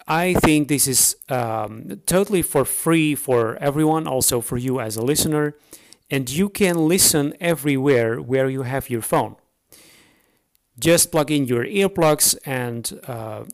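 A man with a Dutch accent talks straight to his listeners as the host of his own podcast. His English is a second language, and he speaks off the cuff, stopping often to restart a sentence or fill a gap. His voice sits at an ordinary middle pitch for a man. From the Netherlands. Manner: hesitant, unscripted, frequent fillers and restarts. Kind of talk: solo podcast introduction.

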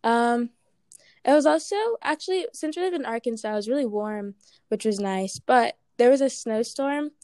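A woman's voice: a little high, normal speed, a little mellow, clean tone, dry recording, clean studio sound. A woman from Ghana with an American accent, answering interview questions casually with many "like" and "um" fillers.

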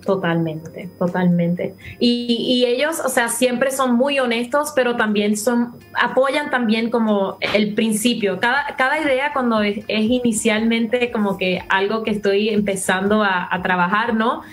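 A woman's voice: fairly high pitch, unhurried, hardly any pauses, soft background music. Puerto Rican-accented woman on a video call. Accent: Puerto Rican Spanish. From Puerto Rico. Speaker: singer guest. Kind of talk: interview over video call.

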